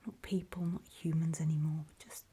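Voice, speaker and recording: A woman playing a weary, wary zombie apocalypse survivor in a halting, breathy whispered ASMR horror roleplay. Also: low-pitched, little pitch movement, mellow. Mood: grim, hushed. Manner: halting, hesitant, slow and trailing off.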